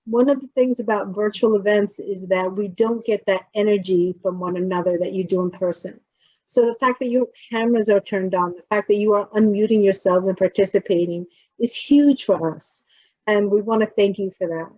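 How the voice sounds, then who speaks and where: A woman's voice: medium pitch, moderate pace, dry recording. New Jersey woman, chatty on a muffled call. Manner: relaxed, chatty, often trails off while fumbling with screen sharing. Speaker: poet leading a workshop. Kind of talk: casual workshop talk on a video call. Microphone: computer mic on a video call. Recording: dull, lo-fi video call audio.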